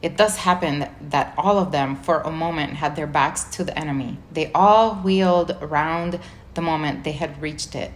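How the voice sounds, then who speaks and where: A woman reading a children's book chapter aloud as a volunteer reader, in a measured, clear way. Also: low voice, slight background noise. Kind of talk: storybook read-aloud narration.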